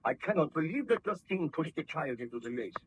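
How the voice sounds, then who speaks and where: An actor playing a troubled, courteous baron in a 1930s radio play, speaking formally in a husky, measured voice. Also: male voice, fairly high pitch, animated, low-quality recording. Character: troubled, courteous baron defending his household. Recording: old radio drama recording.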